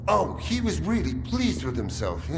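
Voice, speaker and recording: Angry army colonel in a game, sneering n swearing, voice swings all over, noisy background. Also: male voice, fairly high pitch, wildly swooping pitch, unhurried, gravelly, full character voice. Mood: scornful. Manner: profane, sneering, contemptuous. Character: abrasive, furious male military colonel. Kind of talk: video game cutscene acting.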